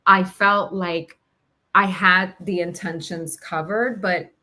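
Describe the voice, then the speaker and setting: A woman's voice: fairly low pitch, fairly even intonation, slow, sharp tone. A woman talking reflectively in conversation, hesitant and searching for her words. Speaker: podcast host.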